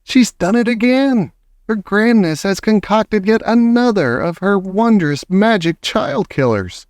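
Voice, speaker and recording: A man's voice: high voice, measured pace, no echo, clean studio sound, pitched above the performer's own voice. A man doing a crowd of fawning witches. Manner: fawning, excited. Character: chanting crowd of adoring witches.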